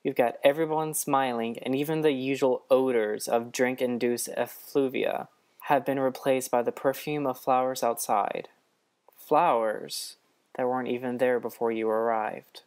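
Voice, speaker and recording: A man's voice: mid-range voice, steady tone, unhurried, darkish tone, clear, resonant tone, pitched above the performer's own voice, close to an everyday voice. Man playing a glib, coaxing male trickster. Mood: flattering. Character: wry, placating male trickster god, smooth-talking bargainer.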